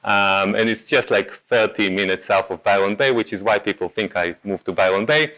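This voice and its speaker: A man from Israel with a clear Israeli accent talks to a meetup crowd in a relaxed, conversational way. His voice sits fairly low, and he often pauses on "um" and corrects himself mid-sentence. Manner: casual, halting, many ums and self-corrections. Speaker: meetup speaker. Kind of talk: conference talk.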